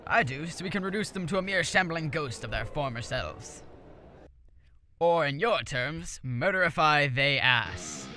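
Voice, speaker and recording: A man as a bright, bloodthirsty young athlete. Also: fairly high pitch, big pitch swings, a little slow, slight background noise, heavily acted. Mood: zealous, menacing. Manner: intense, theatrical, menacingly cheerful. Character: gung-ho bloodthirsty zealous young volleyball player. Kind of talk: cartoon parody acting.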